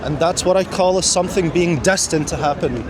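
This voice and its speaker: A man's voice: a little high, a little fast, a crowd in the background. A man from Egypt narrating a casual walking travel vlog outdoors at night, with many fillers. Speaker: vlogger. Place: outdoors on a city street at night. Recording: street noise behind.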